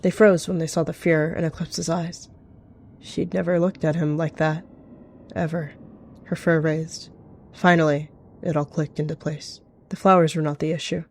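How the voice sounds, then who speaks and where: Low-voiced female story narrator. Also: flat delivery, moderate pace, slight background noise. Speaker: roleplay narrator.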